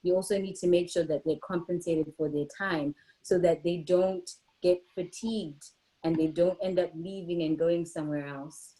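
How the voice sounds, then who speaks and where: A woman from Zimbabwe speaking as a panelist in a discussion, making an earnest, measured argument. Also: lowish voice, steady tone, mellow, low-quality recording.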